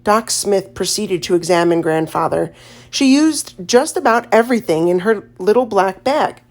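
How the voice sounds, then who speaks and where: A woman reading a children's story aloud as an audiobook narrator, in an expressive storytelling manner. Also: medium pitch, moderate pace.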